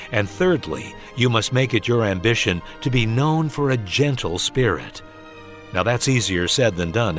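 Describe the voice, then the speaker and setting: A man's voice: lowish voice, fairly bright tone. A man introducing a religious radio program in a polished, scripted announcer delivery with rhetorical questions.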